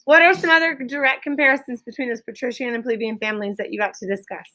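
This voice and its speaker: Lady elementary teacher, pretty high voice, runs q&a with the class, repeats stuff back and keeps kids on task. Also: fairly even intonation, quick pace, bright, forceful tone, low-quality recording. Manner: directive, repeats and confirms answers. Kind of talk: classroom question-and-answer lesson. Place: classroom with pupils.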